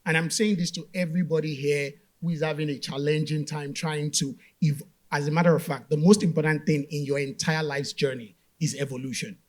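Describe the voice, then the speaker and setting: A man from Nigeria talks on a conference stage, bluntly pushing back on a question before building to firm points. His voice sits a little high for a man. He sounds like a panelist speaking to a live audience. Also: level tone, fairly quick, few pauses. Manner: direct, challenges premises, then builds to emphatic points. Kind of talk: motivational panel talk.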